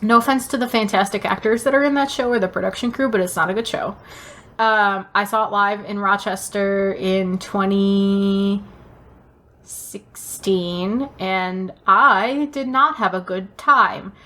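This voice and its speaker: A woman's voice: medium pitch, steady tone, a little slow, pushed and bright. A woman co-hosting a podcast in casual chat, talking in an emphatic, gushing way and swearing casually. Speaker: podcast co-host. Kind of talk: casual chat, fan review.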